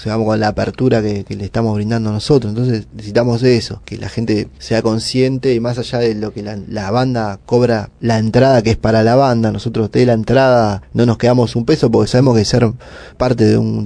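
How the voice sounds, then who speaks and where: A man from the interviewee's chair with a Buenos Aires area Argentine accent, speaking in a creaky, relaxed voice. He explains things informally and haltingly, like a community organizer answering questions. The sound is close and dry. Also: fairly low pitch, no echo. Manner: halting, explanatory, filler-heavy.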